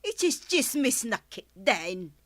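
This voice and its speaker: A touchy Ilocano village woman in a radio comedy. Her voice is high and piercingly bright. She sounds huffy and easily offended, with an Ilocano accent. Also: very animated, slow pace, no room sound, heavily acted. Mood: angry, huffy.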